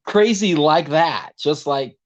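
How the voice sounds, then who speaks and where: A man's voice: a little high, slow, dull audio. A middle-aged man from Puerto Rico with a tenor voice, a guest vocalist telling stories animatedly in a casual interview and acting out other people's voices.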